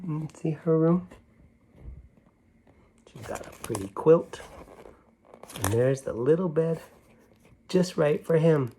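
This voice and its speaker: Gentle man reading a picture book aloud. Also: on the high side, expressive, takes it slowly, long pauses, slight background noise. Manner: gentle, talking as if to young children. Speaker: storybook reader. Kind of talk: read-aloud picture book with chatty asides.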